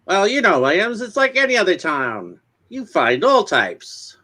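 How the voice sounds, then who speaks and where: A man's voice: high voice, huge pitch swings, unhurried, sharp tone, exaggerated voice. A man acting a friendly, gossipy small-town neighbor in a dramatic comic reading, folksy and exclamatory. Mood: folksy, opinionated.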